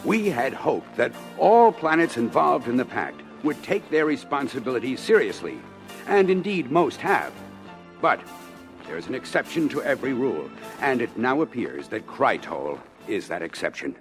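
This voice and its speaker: A dignified government minister in a sci-fi audio adventure. His mid-pitched voice swings expressively through formal, oratorical phrases, with clear music behind it on a vintage LP. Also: sing-song, moderate pace. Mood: grave, lecturing. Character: grave, dignified male government minister of space colony. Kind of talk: audio adventure record acting, briefing speech.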